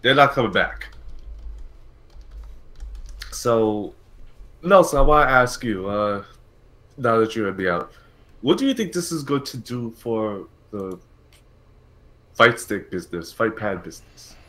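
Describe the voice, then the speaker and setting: A man hosting a casual gaming podcast, talking in a halting way and often repeating his words. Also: on the low side, expressive, pushed and bright. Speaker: podcast host.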